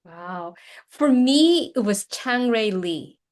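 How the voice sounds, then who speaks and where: A woman hosting an interview, born in Korea with Korean as her first language, talking in a lively way and often laughing. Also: fairly high pitch, takes it slowly. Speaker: podcast host, executive coach. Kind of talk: conversational interview.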